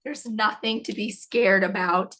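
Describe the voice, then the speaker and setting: A woman's voice: mid-range voice, steady tone, a little slow, a bit bright. Woman, webinar host, scratchy failing voice, reassuring, chatty with fillers. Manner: upbeat, reassuring, filler-heavy. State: losing her voice, scratchy. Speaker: nursing education director hosting a webinar. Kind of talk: live webinar presentation.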